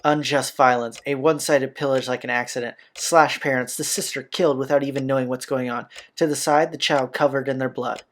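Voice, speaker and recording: A man's voice: mid-range voice, fairly even intonation, brisk, hardly any pauses, a bit bright. A man reading a visual novel's narration aloud as a let's player, with occasional casual asides. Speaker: video game let's player.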